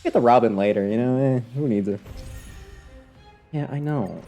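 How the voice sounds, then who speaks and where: A man's voice: mid-range voice, moderate pace, dark tone. Wry man streaming a game over music. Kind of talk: casual live gameplay commentary.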